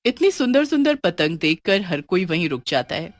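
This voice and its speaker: A woman's voice: fairly low pitch, big pitch swings, moderate pace, dry recording. Woman narrating a horror story, dry sound.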